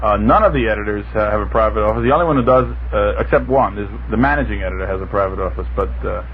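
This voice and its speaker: A man's voice: lowish voice, racing, narrow, tinny audio. Hesitant man on a noisy old broadcast. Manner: hesitant, stammering, thinking aloud. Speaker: newspaper financial reporter, panel guest. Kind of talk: unscripted panel discussion. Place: radio studio. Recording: noisy band-limited 1960s radio broadcast recording.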